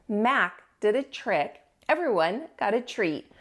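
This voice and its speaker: A woman hosting storytime, reading aloud to young children with an expressive, teacherly delivery. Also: mid-range voice, slow.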